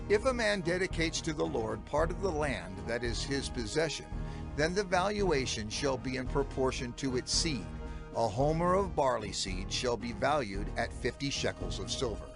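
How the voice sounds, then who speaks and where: An actor playing a stern, authoritative ancient lawgiver, reading scripture in a measured, emphatic and formal manner. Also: male voice, medium pitch. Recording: prominent music under the voice.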